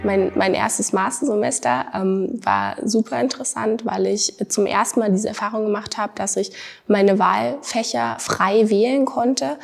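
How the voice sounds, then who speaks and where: A woman in her twenties from Thuringia, a master's student giving earnest interview answers, often pausing on fillers and repeating words for stress. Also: medium pitch, lively intonation, gravelly. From Thuringia, Germany. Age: 20s.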